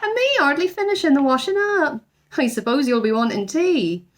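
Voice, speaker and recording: A woman's voice: sits high, animated, moderate pace, voice pushed up. A woman voicing a fierce, grumbling older housekeeper from a children's storybook, blunt, fussy and full of exclamations. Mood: aghast, disbelieving.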